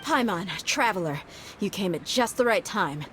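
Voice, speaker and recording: An actress plays a tough young woman who works as a loyal bodyguard in a video game. She talks bluntly and casually in a mid-range voice with lively intonation, over faint noise. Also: medium pitch, normal speed, close to an everyday voice. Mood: steady, dutiful.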